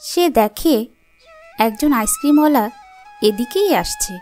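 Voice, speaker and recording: A woman's voice: high-pitched, animated, measured pace, a little mellow, faint music behind. A woman reading scripted storybook narration for a fairy-tale cartoon.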